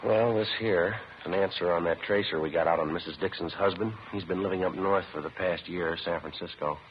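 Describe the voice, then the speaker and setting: A very low-voiced, stoic male detective played by an actor reports facts in a clipped, deadpan way. It sounds like a muffled old 1950s radio police drama. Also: a little fast, slight background noise, lightly acted. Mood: sober, businesslike. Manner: deadpan, matter-of-fact. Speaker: narrator and lead actor. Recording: muffled vintage radio recording.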